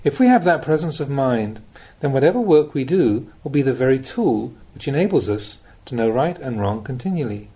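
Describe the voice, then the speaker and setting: A man reading a spiritual teaching aloud as an audiobook, with measured, deliberate phrasing and pauses. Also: mid-range voice, big pitch swings, dark tone, breathy edge. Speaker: Buddhist monk reading recorded teachings. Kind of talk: audiobook reading of a Dhamma talk.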